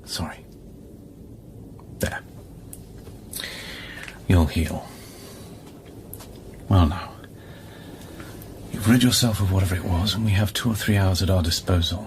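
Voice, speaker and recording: A man's voice: deep voice, slow pace, pauses often, background noise. An actor playing a wry, weary male scientist on a space station in an audio drama, speaking in a probing, cryptic way. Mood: concerned, brisk.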